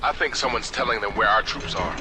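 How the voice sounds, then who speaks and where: A man's voice: on the low side, fairly quick, music in the background, lightly acted. An actor playing a suspicious, dry-witted male mercenary soldier in a game cutscene. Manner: dry, suspicious, matter-of-fact. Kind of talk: video game cutscene acting.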